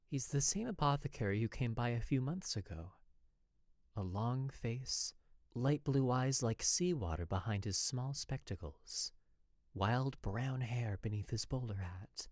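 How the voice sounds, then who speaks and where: An anxious young wife played by a man confides in her diary in a western drama. The delivery is earnest and formal, with dramatic weight. The voice sits at a medium pitch for a man and is recorded very dry. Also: darkish tone, no echo. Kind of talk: dramatic diary narration of western fan fiction.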